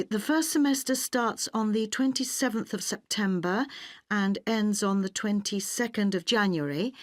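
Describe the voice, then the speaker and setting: A woman acting a polite, efficient university admissions officer in a scripted listening-test dialogue, speaking clearly. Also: mid-range voice, dry recording, clean studio sound, natural voice. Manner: polite, clearly enunciated, businesslike.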